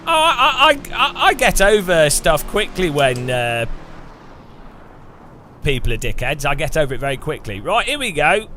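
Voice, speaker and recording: A man casually commenting while playing a game, swinging from loud sarcastic bursts to low mutters. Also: very animated, moderate pace, slight background noise. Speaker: gaming streamer.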